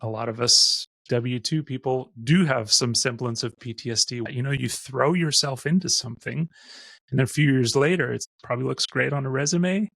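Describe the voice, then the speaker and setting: A man's voice: medium pitch, normal speed, creaky, a bit bright, no room sound. A man in his forties, a podcast guest who talks in a loose, rambling way with lots of "um" and "you know". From born in Austria, raised in Missouri. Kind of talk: casual interview chat.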